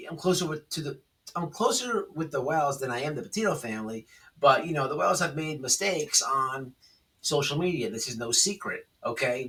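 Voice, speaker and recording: A man with a fairly high voice and a sharp, bright edge delivers off-the-cuff drama commentary. He is emphatic, circles back to repeat his points and tags them with little check-ins. The microphone picks him up from a slight distance. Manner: emphatic, repetitive, checks in with "okay?" and "right?". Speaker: YouTube commentary host.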